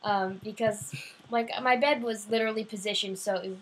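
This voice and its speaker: A woman's voice: medium pitch, fairly even intonation, normal speed, a little hiss or hum, poor audio. A woman telling anecdotes in a chatty, rambling, casual way.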